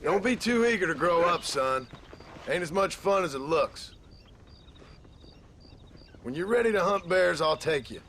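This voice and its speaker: An actor playing a gruff, protective American frontier father in a video game, with a dry, folksy western drawl. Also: high-pitched, animated, measured pace, long pauses, fairly bright tone, a little hiss or hum, full character voice. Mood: gentle, firm. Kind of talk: cinematic video game acting.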